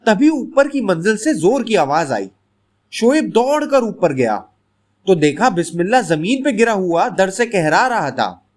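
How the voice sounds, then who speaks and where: A man narrating a scripted bedtime story in an expressive, dramatic storytelling manner. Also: high-pitched, a little slow.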